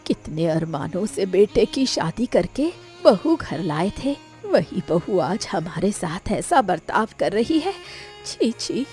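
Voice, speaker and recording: A woman acts out an elderly village mother in a moral cartoon tale. She laments plaintively and anxiously, her pitch moving up and down very widely over a soft musical bed. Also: big pitch swings, takes it slowly, hardly any pauses, faint music behind. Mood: hurt, sorrowful. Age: older. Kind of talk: Hindi moral cartoon story dialogue.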